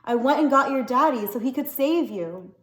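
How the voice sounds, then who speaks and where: Woman acting a folksy, high-voiced motherly Southern woman. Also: animated, moderate pace, fairly bright tone, echoey room. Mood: firm, scolding. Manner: folksy, exclamatory, commanding. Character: fervent Southern woman, motherly, bossy and protective.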